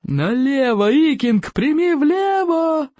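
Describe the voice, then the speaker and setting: A narrator voicing a loud, gruff male Viking teacher, bossy and exasperated, with drawn-out commands in an audiobook story. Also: medium pitch, sing-song, dry recording, highs cut off. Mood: roaring. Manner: drawn-out commanding shouts and growls.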